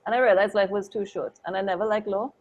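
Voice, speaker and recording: A woman, a photographer and interview guest, telling her story in a chatty, animated way with lots of "like" and "oh my God". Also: medium pitch, fast talker, highs cut off, slight background noise.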